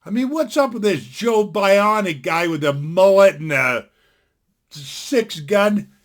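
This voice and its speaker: An older man in his sixties with a fairly high-pitched voice speaks from his home into a desktop computer microphone. He talks in a blunt, folksy, opinionated way, rambling and restarting his sentences as he goes. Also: expressive, slow pace. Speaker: vlogger. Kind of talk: casual opinion rant to camera. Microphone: desktop computer mic.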